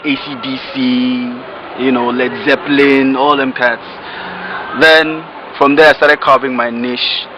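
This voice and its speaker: A young man with a Nigerian accent talking in a relaxed, rambling, slangy way, interviewed outdoors in a residential compound on a close-held camera. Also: medium pitch. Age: young adult. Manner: laid-back, rambling, slangy. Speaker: rapper guest. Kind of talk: casual interview. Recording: dull audio with outdoor noise.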